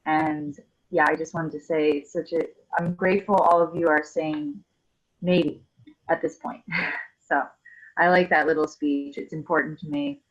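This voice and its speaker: A woman's voice: deep voice, sing-song, moderate pace, creaky. A woman making candid, explanatory remarks to a group on a call. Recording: slightly dull lo-fi call audio.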